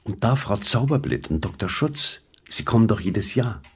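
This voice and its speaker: An actor in a radio drama playing a pharmacist who patiently placates his sick wife, with a breathy, husky voice. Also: fairly low pitch, animated, moderate pace, a bit bright, full character voice. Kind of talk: literary radio drama acting.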